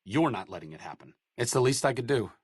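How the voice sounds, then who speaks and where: A man's voice: medium pitch, lively intonation, fast talker, slightly rough, no echo. An actor playing a wry, sardonic male doctor in a video game, with an articulate, bookish way of talking and dry sarcastic wit. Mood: earnest. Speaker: companion character in a video game. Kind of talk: game voice acting. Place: studio booth.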